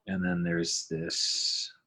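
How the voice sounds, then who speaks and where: A man narrating a casual software tutorial, informally thinking aloud as he works. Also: low-pitched, little pitch movement, very slow. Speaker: podcast creator and sound designer. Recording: background noise, mouse clicking.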